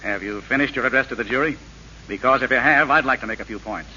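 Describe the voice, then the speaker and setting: An actor playing a corrupt, resentful assistant prosecutor in old radio drama, with a sardonic, needling manner. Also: male voice, mid-range voice, fast talker, pushed and bright. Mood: bitter, threatening. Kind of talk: 1930s radio acting. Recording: muffled old radio recording with hiss.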